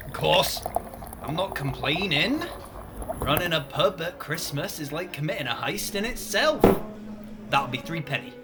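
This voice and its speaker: Man as folksy, lively pub landlord. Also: a little high, a little slow, background noise. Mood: chatty. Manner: folksy, rustic turns of speech. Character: chatty, boastful old-time pub landlord. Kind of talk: comic radio play acting.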